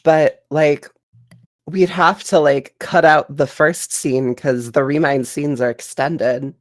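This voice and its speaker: A woman talks at a slow, unhurried pace in a casual podcast discussion. She is informal and blunt, padding her sentences with filler words. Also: low voice, fairly even intonation. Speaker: podcast panelist. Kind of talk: casual group podcast chat.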